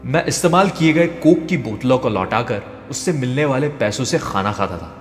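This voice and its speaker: A man reading a scripted motivational story in a measured, storytelling way. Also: medium pitch, animated. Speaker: narrator. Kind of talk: scripted motivational narration of a translated speech. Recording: echoey, long reverb, music behind. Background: background music.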